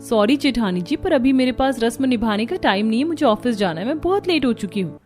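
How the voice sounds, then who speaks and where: A woman voicing a bossy, self-assured young career woman in a cartoon family story, brisk and dismissive. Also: fairly high pitch, animated, darkish tone, soft background music, natural voice. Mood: haughty, impatient. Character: young working sister-in-law, haughty, bossy, self-assured.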